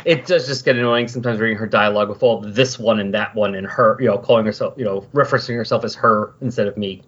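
Husky man chatting, dull lo-fi sound. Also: fairly low pitch, fairly even intonation, fast talker, a bit bright. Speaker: co-host of a comic book discussion podcast. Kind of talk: casual comic book discussion, reading dialogue aloud. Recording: dull, lo-fi voice recording.

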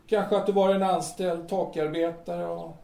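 A man's voice: high voice, little pitch movement, gravelly, warm tone. A man acting in an audio drama as a blunt, casual thirtyish man, hesitant and matter-of-fact. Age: 30s. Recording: roomy, distant, noisy amateur-sounding recording.

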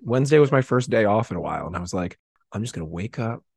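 Guy rambling on a podcast, super animated, kinda self-deprecating, says like a lot, dry sound. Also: on the low side, fast, husky, no echo. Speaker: podcast guest. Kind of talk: casual chat, anecdotes.

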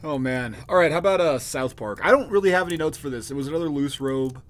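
A man's voice: on the high side, lively intonation, fast talker. A man co-hosting a podcast in casual chat, laid-back and self-mocking, with a bit of swearing. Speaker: podcast co-host.

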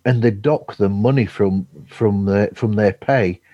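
A man chatting casually as a podcast host, halting and rambling, often chuckling. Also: lowish voice, warm tone. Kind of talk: casual remote chat. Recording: dull, roomy remote call audio.

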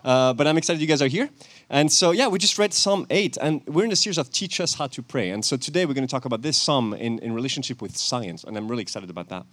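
A man's voice: medium pitch, dry recording. A man with a French accent gives a chatty sermon outdoors, quizzing the congregation and laughing often. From France. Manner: animated, chatty, laughs often, quick with self-corrections. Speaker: guest preacher, church elder and chemist. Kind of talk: lively outdoor sermon with audience questions. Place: outdoor church service with planes overhead.

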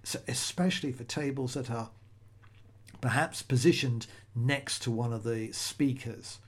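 A man's voice: mid-range voice, a little slow. Male solo podcast host, middle-aged, casual, rambling delivery, mid-range voice. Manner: conversational, rambling, self-correcting restarts.

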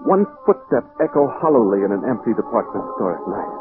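A man's voice: on the low side, big pitch swings, fairly quick. An actor playing an intense fugitive poet reading his secret diary as a precise, theatrical old-time radio monologue. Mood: unnerved, suspenseful. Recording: muffled old radio recording with music behind.

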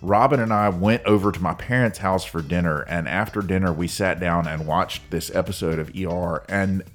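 A man's voice: deep voice, normal speed. A middle-aged man, co-hosting a casual podcast and telling stories with frequent laughs. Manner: chatty, laughs often, tells anecdotes. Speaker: podcast co-host.

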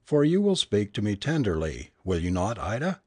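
A man's voice: on the low side, big pitch swings, normal speed, breathy edge, no echo, studio quality. A man voicing a cruel, cynical fake spirit medium in an audiobook, with a lofty, sinister, commanding manner. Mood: sinister, mocking. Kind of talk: dramatic audiobook character reading.

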